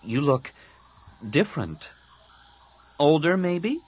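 A man's voice: on the high side, very animated, slow pace, slight background noise, voice pushed up. A man voicing an earnest, slightly awkward teenage boy hero in an audiobook. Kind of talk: audiobook character dialogue.

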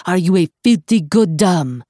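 A woman's voice: on the low side, expressive, slow, dark tone, no room sound, clean studio sound. A woman voicing a small, passionate and proud medieval French alchemist man, courteous and declamatory, in a storybook reading. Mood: scornful, glaring.

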